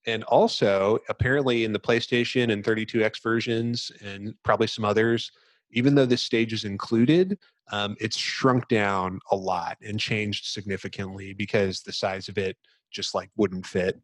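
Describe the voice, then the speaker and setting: Low-voiced man, casual game-podcast host. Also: steady tone, normal speed, dry recording. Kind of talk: casual video game discussion.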